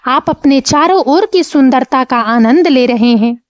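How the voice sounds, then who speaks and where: A woman narrating a scripted guided meditation, with measured, soothing instructions. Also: high voice, lively intonation, dry recording, dull audio.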